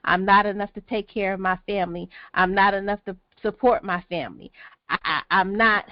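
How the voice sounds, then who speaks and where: A woman's voice: medium pitch, no echo, dull audio. A woman hosting a conversational panel discussion, repeating phrases for emphasis. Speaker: podcast host.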